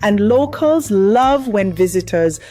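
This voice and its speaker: A woman's voice: medium pitch, lively intonation, slow, mellow, faint music behind. A Jamaican woman, host of a travel channel, narrating a scripted piece in an upbeat, rhythmic way as she lists what her island offers. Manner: upbeat, rhythmic listing delivery.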